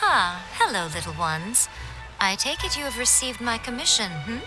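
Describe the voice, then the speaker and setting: A sly, poised lady in charge from a video game. Her voice is fairly high and unhurried, with a teasing, condescending lilt. Music is clearly heard behind her. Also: sing-song, rough recording. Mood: playful teasing.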